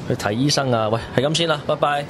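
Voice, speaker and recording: Male actor as impatient young man, foul-mouthed, rapid, radio drama dialogue, faint background noise. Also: fairly high pitch, lightly acted. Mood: brisk. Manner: pushy, slangy.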